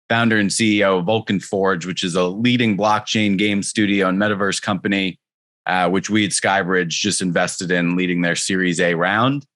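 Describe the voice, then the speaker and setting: A man's voice: lowish voice, a bit bright, no echo. A man hosting a talk series, reading a prepared welcome and introduction. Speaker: host. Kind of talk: scripted show intro.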